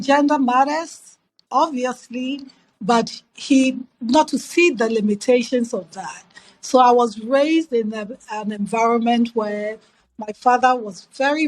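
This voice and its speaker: A middle-aged woman with a Ghanaian accent, telling her life story as an interview guest in a measured, reflective way with emphatic repetition. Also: fairly high pitch, low-quality recording.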